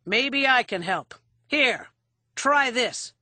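A woman doing a tough, forceful angry barman. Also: mid-range voice, normal speed, no room sound, natural voice. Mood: threatening. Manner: menacing, disgusted, curt. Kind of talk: audiobook character acting.

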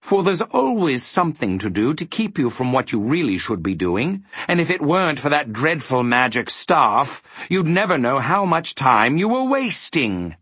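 A villainous demon of tedious tasks from a storybook. The voice is pitched high and swings wildly, with a husky edge. He gloats theatrically and draws his words out. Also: sing-song, a bit bright, dry recording. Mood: slyly persuasive. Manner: theatrical, drawn-out, swooping delivery. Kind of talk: storybook character voice.

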